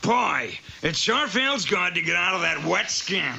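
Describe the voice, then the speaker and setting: A man's voice: high voice, very animated, unhurried, vocal fry, sharp tone, faint music behind, heavily acted. A man voicing a grumbling, hot-tempered potter in a comic cartoon, blustering and muttering grouchily. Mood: sheepish, wry. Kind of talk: comic cartoon character acting.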